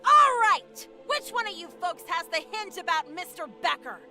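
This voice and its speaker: A woman voicing a loud, bossy animated cartoon character who fires out exclamations and questions. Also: very high-pitched, moderate pace, soft background music, full character voice. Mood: loud, insistent. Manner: forceful, exclaiming. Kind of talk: cartoon voice acting.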